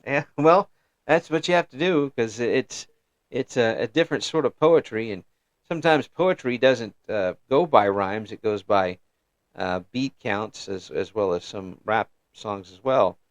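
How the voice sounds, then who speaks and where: A middle-aged man hosting an interview in a casual, chatty way, often restarting sentences and answering with quick yeses. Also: medium pitch, dry recording. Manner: chatty, frequent restarts and affirming "yeah"s. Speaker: interview host.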